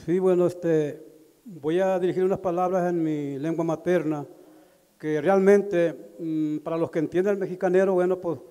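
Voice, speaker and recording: A middle-aged man addressing a community meeting through a handheld microphone, speaking haltingly with pauses and fillers. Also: sits high, fairly even intonation, dark tone. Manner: halting, with pauses and fillers, switching between languages. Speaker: community member at a consultation. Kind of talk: public address. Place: large indoor community hall. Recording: echoey hall over a PA.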